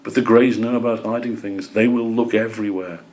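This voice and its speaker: A man's voice: lowish voice, steady tone, a little fast, darkish tone, pitched above the performer's own voice, lightly acted. A man voicing a clever, modest young male squirrel in a children's story, speaking earnestly. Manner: earnest, encouraging.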